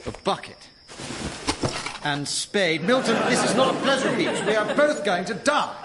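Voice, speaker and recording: Exasperated British army colonel, highish voice. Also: male voice, sing-song, a loud crowd, very noisy. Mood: alarmed, grim. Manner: brisk, exasperated. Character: exasperated ex-special-forces British colonel, survival instructor. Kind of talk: radio sitcom acting.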